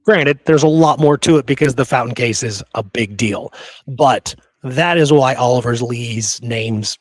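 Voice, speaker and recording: A man's voice: medium pitch, a little slow, no room sound. A man talking casually about history as a podcast host, repeating phrases for emphasis.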